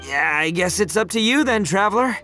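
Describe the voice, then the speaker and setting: A man's voice: sits high, expressive, a little fast, bright, forceful tone. A man voicing a brash, big-hearted young oni gang leader in a video game, in a boisterous, slangy, easygoing manner. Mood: sheepish, easygoing. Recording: music under the voice.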